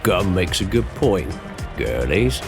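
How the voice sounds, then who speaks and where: A man voicing a gruff, brutish male pig-man who runs an arena, talking in coarse, mocking slang. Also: sits low, sing-song, takes it slowly, full character voice. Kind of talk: audio drama roleplay. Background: background music.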